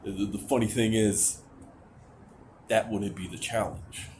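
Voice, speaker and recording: A young man with a very low, deep voice talks straight to his camera, hesitant and rambling. He sounds anxious and sighs often, with casual swearing. A steady hiss sits under the recording. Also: a little slow. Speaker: vlogger. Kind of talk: candid venting to camera. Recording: noticeable background hiss.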